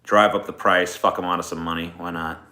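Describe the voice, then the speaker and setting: A man's voice: sits low, little pitch movement, brisk, sharp tone. A man hosting a casual stream, chatting off the cuff in a rambling, profane way. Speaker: streamer host. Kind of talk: casual improvised chat. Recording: roomy echo, music in background.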